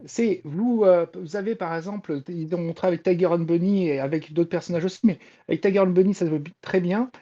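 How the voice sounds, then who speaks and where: Female panelist, mellow tone, hesitant and wordy informal explanations, slightly dull lo-fi sound. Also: fairly low pitch, a little fast, few pauses. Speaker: podcast panelist and reporter on Japanese pop culture.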